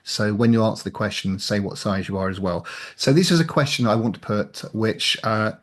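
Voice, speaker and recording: A man with a fairly low voice chats casually as a guest on a show. His delivery is halting, moving forward in stops and starts. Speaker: interview guest, audio drama writer. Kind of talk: casual chat.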